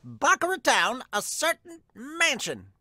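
Man as a high-pitched dramatic manga announcer. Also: wildly swooping pitch, a little slow, sharp tone, no echo, full character voice. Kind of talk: dramatic title-card announcing.

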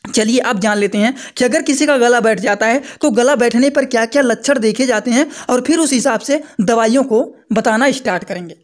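A man's voice: extremely high pitch, fairly quick. A young man explaining a medical topic to students in a lecture, repeating points and checking with rhetorical questions over his slides. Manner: repetitive, asks listeners rhetorical checks. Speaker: pharmacy teacher.

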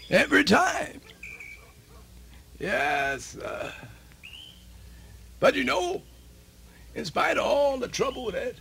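An actor playing an old man from the American South, telling his life in a rambling, folksy, gravelly radio-theatre monologue with a Southern accent. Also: very high-pitched, sharp tone, a little hiss or hum, full character voice. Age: older. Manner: rambling, repeats himself, folksy.

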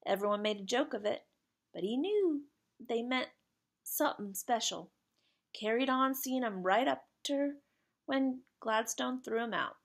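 A woman's voice: on the high side, animated, a little slow, gravelly, voice pushed up, exaggerated voice. A woman voicing a plucky, friendly working-class British boy from a children's storybook, speaking earnestly in slangy, colloquial grammar. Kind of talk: children's storybook character read aloud.

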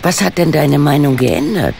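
A woman's voice: deep voice, big pitch swings, normal speed, gravelly, a little mellow, slight background noise, exaggerated voice. An actress playing an inquisitive, opinionated older lady sleuth in an audio drama, speaking formally and precisely, with an impatient, demanding manner. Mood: sceptical, prim. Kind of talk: German audio drama acting.

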